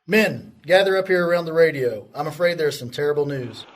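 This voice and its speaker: An earnest army commander played by a man speaks to his troops in an amateur radio drama. His voice sits fairly high for a man. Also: lively intonation, brisk, noisy background, lightly acted. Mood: grave.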